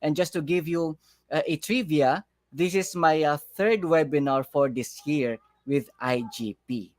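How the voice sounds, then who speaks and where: A man's voice: on the high side, a little slow, darkish tone, no room sound. A man from the Philippines works as a leadership coach and gives a live online talk. He sounds upbeat and speaks straight to his listeners. His voice comes through dry, with no room sound. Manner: upbeat, engaging, talks to the audience directly. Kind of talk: live webinar presentation.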